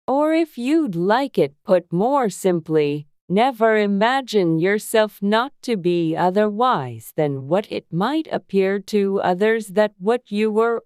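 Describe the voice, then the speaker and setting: A pompous, affectionate Duchess from a storybook, speaking slowly and sententiously as she hands out morals. The voice is smooth and clear, pitched well above the narrator's, in a dry recording. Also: a little mellow, no echo. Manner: pompous, drawn-out sententious delivery. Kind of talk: dramatized storybook audiobook acting.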